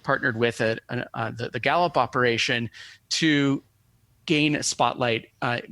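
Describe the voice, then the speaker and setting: Male academic guest, mid-pitched, hesitant and digressive, very dry recording. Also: fairly even intonation, unhurried, sharp tone, dry recording. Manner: hesitant, digressive, scholarly phrasing. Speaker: professor, expert guest. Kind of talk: academic explanation in an interview.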